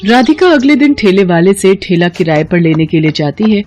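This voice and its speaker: A woman's voice: medium pitch, big pitch swings, moderate pace, darkish tone, soft background music. A young woman narrating a storybook cartoon earnestly and voicing its heroine. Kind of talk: storybook narration with character voices.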